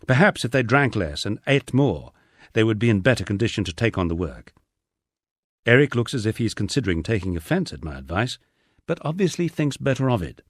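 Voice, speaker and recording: A man's voice: medium pitch, no room sound. A man reading a first-person diary-style memoir aloud with dry, understated storytelling. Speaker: audiobook narrator.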